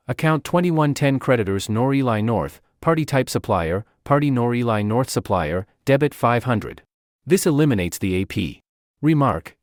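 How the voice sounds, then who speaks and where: A man presenting a software demo in scripted tutorial narration, with clipped, step-by-step phrasing. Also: mid-range voice, no room sound, clean studio sound.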